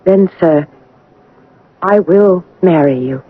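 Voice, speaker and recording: An actress playing a prim, earnest young governess in an old-time radio drama, formal, restrained and hesitant, with guarded pride. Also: female voice, fairly low pitch, slow. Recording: dull, band-limited old radio broadcast.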